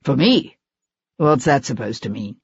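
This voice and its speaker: A narrator playing a self-possessed actor of the old school, his voice dropped deep and resonant with stage training. He answers in short, scornful bursts. The sound is slightly dull and close. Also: very animated, unhurried, creaky, husky, no echo, exaggerated voice. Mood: curt, scornful. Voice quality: deep, rich, stentorian. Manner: curt, dismissive, haughty. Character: arrogant, theatrical older stage actor, man. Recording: slightly dull, dry lo-fi recording.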